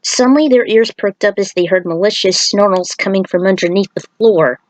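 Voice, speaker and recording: A woman narrating a scripted fan fiction story in a deliberate read-aloud manner. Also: mid-range voice, dry recording, low-quality recording.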